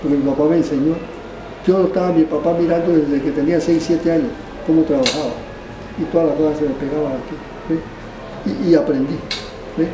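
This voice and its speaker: Older man, halting storyteller, fairly high voice, roomy sound, mic far off. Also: fairly even intonation, background noise. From Panama. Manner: halting storyteller, frequent restarts and tag questions. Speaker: barber being interviewed. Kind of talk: rambling oral history. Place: barbershop. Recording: roomy, distant mic, background ambience.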